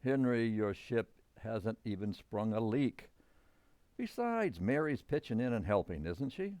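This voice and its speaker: A man acts out a middle-aged father from an old-time radio sitcom. He is reasonable yet exasperated, with a very lively, swooping delivery. The sound is dry, with little room around it. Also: lowish voice, a little slow, no room sound. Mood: reasoning, insistent.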